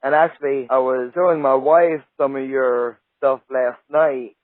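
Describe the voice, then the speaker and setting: A man's voice: medium pitch, steady tone, a little slow, dry recording. A male radio host chatting casually with a guest, friendly and full of praise. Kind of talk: casual interview chat. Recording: tinny phone-like line, dry.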